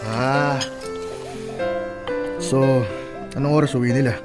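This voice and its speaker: A man's voice: mid-range voice, steady tone, slow, natural voice. A man acting a jealous, domineering, abusive husband in a radio drama, with music playing behind him. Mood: casual, impatient. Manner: coaxing and murmuring, turning to bullying shouts. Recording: background music under the voice.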